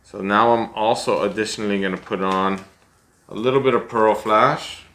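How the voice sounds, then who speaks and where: A middle-aged man with a very low voice that still has a bright, forward edge. He walks through a fly-tying demonstration in a small room, chatting easily, thinking aloud about each choice and poking fun at himself. The sound comes from a camera at desk distance. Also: fairly even intonation, normal speed. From United States. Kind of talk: relaxed step-by-step tutorial.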